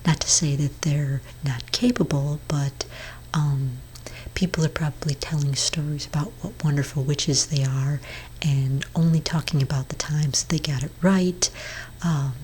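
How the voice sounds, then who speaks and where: A woman playing a gentle, reassuring witch therapist in an ASMR roleplay, speaking in a soft, breathy whisper with hesitant pauses. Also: low-pitched, fairly even intonation, low-quality recording. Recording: clearly audible background noise.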